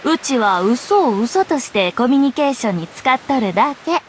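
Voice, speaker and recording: Lilting, high-pitched young Kansai journalist. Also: female voice, lively intonation, moderate pace, slight background noise. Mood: playful, breezy. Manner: soft, coquettish, lilting dialect with playful teasing. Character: teasing, breezy young female journalist and playful liar. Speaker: game voice actor. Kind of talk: Japanese anime game voice acting.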